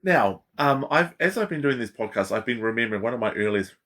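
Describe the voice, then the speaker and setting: A man's voice: medium pitch, expressive, quick pace, a bit bright. A man hosting a podcast, chatting casually and encouraging his guest with short affirmations. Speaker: podcast host. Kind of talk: casual interview chat.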